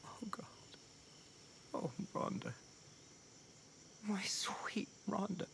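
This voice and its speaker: An actor playing a guilty, grief-stricken scientist in a video game, earnest and halting. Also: male voice, sits high, lively intonation, vocal fry, a bit bright, whispered, full character voice. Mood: anguished, guilty. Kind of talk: video game cutscene acting.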